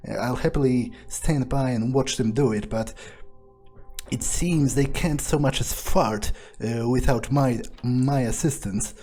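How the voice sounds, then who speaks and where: Jaded male vampire for a game monologue played by a man: low-pitched, mellow, sardonic, halting and foul-mouthed, music behind. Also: natural voice. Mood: bored, annoyed. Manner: halting, muttering, profane, sardonic. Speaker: player of a role-playing video game. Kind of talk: in-character gameplay monologue, thinking aloud.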